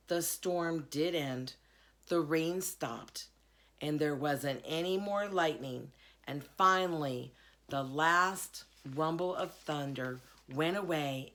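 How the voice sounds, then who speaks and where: Woman, low pitch, slow pace, storybook read-aloud, expressive narration. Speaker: reader, school board member. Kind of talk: children's novel read-aloud.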